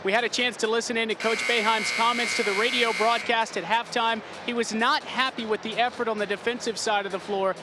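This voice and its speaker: A young man with a high-pitched voice delivers sports commentary and reports. His delivery is polished and broadcast-style. There is clear noise behind him. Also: steady tone, brisk, few pauses. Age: early 20s. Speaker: sports play-by-play announcer and reporter.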